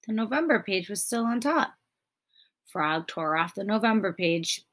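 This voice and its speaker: A young woman reading a children's story aloud in a deliberate manner, as if teaching young children. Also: mid-range voice, expressive, fairly bright tone. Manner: deliberate, clearly phrased for children.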